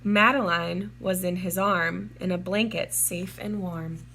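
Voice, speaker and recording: A woman reading a children's storybook aloud in a measured, deliberate and expressive way, drawing out words. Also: on the low side, vocal fry, a little hiss or hum, poor audio. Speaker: children's storybook reader, teacher. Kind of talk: read-aloud storybook narration for young children.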